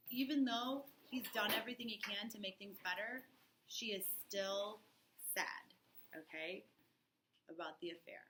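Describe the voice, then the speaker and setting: Female teacher, bright mid-pitched voice, informal explanatory style, frequent check-in questions, faint room noise. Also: low-quality recording. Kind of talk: informal classroom lesson. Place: classroom. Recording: noticeable background noise.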